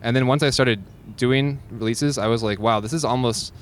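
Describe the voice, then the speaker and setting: Male, medium pitch. Informal, sincere interview guest who thinks out loud. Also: moderate pace, slight background noise. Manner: earnest, informal, thinking aloud. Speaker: cassette label owner and musician, interview guest. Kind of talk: casual interview chat.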